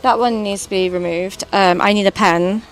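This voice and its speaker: A British woman with a high voice, streaming and chatting casually while gaming, rambling and swearing now and then. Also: steady tone, normal speed, rough recording. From Britain.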